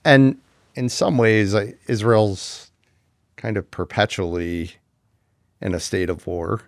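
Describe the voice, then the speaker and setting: A man's voice: lowish voice, fairly even intonation, slow pace, no room sound, studio quality. A man hosting an interview, asking questions in an easygoing, affirming manner. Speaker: interview host. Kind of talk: conversational interview.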